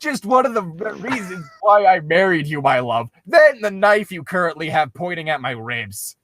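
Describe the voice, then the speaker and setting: A man's voice: high-pitched, big pitch swings, normal speed, a bit bright, no echo, voice pushed up, full character voice. A man voicing an earnest, nervous fantasy hero husband in a comic fan fiction reading. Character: Link, devoted but fearful husband, fantasy hero.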